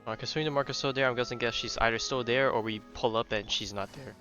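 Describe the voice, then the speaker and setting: A man's voice: medium pitch, steady tone, fast, pushed and bright. A man giving casual live-stream commentary as he plays through a game's story. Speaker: game streamer. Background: game music.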